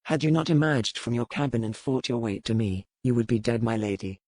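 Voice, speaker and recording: Man playing a calm, brooding pirate earl. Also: mid-range voice, dry recording, clean studio sound, natural voice. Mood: calm, matter-of-fact. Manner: calm, measured, formal archaic phrasing. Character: brooding, dangerous pirate earl, coolly calculating. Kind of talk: dramatized romance audiobook dialogue.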